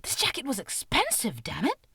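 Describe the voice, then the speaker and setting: A woman's voice: sits high, wildly swooping pitch, moderate pace, creaky, pushed and bright, husky, no echo, pitched above the performer's own voice, heavily acted. A woman voicing a frightened but indignant young victim who snaps back in an audiobook, exclaiming. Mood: outraged.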